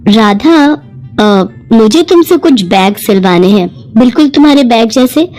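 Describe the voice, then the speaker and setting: A woman voicing a well-off, kindly and enthusiastic married lady, encouraging and expressive, in a cartoon moral story. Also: on the high side, unhurried, soft background music. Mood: brisk, friendly.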